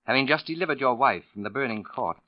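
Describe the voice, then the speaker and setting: Guy acting a smug pompous old-radio sleuth, really animated, precise wording, dry muffled 1940s sound. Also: medium pitch, fast, no room sound, natural voice. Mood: urbane. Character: suave, condescending male writer turned shrewd accusing sleuth. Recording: dull vintage radio recording.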